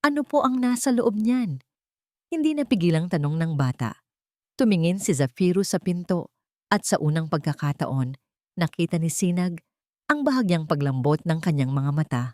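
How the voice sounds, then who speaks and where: A woman narrates a dramatic scripted story. Her voice sits on the low side, and the recording is very dry with no room echo. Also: lively intonation, normal speed, dark tone.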